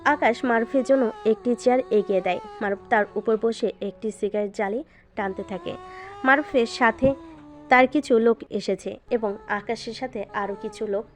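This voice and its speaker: A woman's voice: on the high side, moderate pace. A woman narrator reading a scripted dramatic story.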